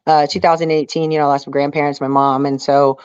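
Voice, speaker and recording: A woman's voice: sits low, flat delivery, fast talker, a little mellow, clean tone. A woman from Texas chats as an interview guest, telling stories candidly and with some drama. The sound is dull and band-limited, with no room echo at all. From Houston, Texas area. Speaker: interview guest, outdoorswoman and reality show contestant. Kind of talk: casual storytelling in an interview.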